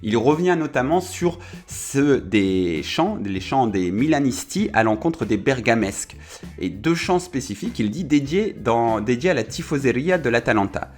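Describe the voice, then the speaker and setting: A man's voice: mid-range voice, very animated, moderate pace, darkish tone. A man hosting a casual football video, talking in a chatty way with many fillers and self-corrections.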